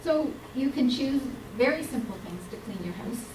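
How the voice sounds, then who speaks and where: A woman giving an earnest, explanatory public health talk, with frequent pauses and ums. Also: sits high, expressive, background noise. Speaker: health speaker. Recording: roomy hall mic from a distance.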